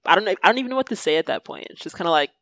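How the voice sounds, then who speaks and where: A woman joining a casual chat with dry, quick asides and laughs. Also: lowish voice, lively intonation, fairly bright tone, no room sound, muffled recording. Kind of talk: casual conversation.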